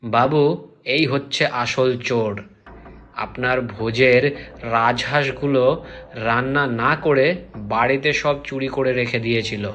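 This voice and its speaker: A man's voice: mid-range voice, steady tone, measured pace, long pauses, fairly bright tone, faint music behind, close to an everyday voice. A man voicing a righteous, combative village man in a cartoon, accusing and emphatic. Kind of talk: cartoon voice acting.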